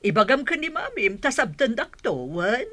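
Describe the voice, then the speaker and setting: A woman's voice: fairly high pitch, big pitch swings, moderate pace, fairly bright tone. A woman with an Ilocano accent playing a kindly elderly village grandmother in a radio comedy-drama. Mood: gentle.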